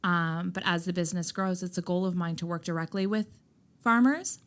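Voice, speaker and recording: Loud low-ish woman guest, emphatic, laughs a lot, casual podcast chat. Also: a little fast. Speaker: skincare brand founder, guest. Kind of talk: casual interview conversation. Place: Nova Scotia, Canada.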